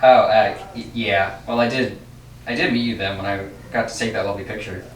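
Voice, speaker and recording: A man's voice: fairly low pitch, fairly even intonation, brisk, far from the mic, natural voice. Man acting anxious polite male employee, hesitant, table read, echoey room, faint noise. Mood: nervous, polite. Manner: hesitant, stammering. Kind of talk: amateur script table read.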